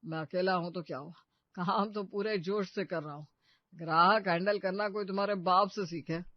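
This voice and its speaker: Man acting a boastful old father, very high-pitched. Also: fairly even intonation, no room sound, dull audio, lightly acted. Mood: boastful, needling. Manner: bragging, drawn-out showy delivery. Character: boastful, jovial older father running a shop. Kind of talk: story cartoon voice acting.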